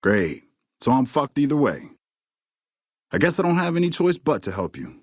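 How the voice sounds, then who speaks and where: A cocky but nervous hustler from a video game, foul-mouthed and full of slang. His mid-pitched voice has a raspy edge and swings widely up and down as he talks. The recording is close and dry. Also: huge pitch swings, moderate pace, no echo. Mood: defensive, fed up. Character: fast-talking, foul-mouthed male hustler, nervous smuggler under blackmail. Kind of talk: video game dialogue acting.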